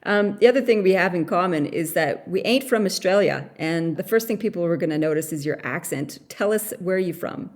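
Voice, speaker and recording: A woman's voice: lowish voice, expressive, a little fast, few pauses. A woman from Canada hosting a podcast interview in a playful, chatty way. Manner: playful, laughs easily. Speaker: podcast host. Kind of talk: casual interview chat.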